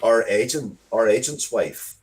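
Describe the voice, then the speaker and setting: A man's voice: medium pitch, measured pace. A middle-aged man talking casually as an interview guest, rambling and swearing freely as he tells stories with enthusiasm. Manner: sweary, rambling, animated storytelling. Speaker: interview guest, game and comics creator.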